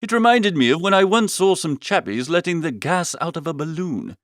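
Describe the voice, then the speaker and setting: Lively, high-pitched male comic narrator. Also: brisk, no echo, studio quality. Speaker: audiobook narrator. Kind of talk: comic first-person scripted narration.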